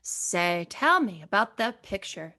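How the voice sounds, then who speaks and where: A woman playing a courtly, flattering radio host in a 1940s announcing style. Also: mid-range voice, takes it slowly, exaggerated voice. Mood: polite, flattering.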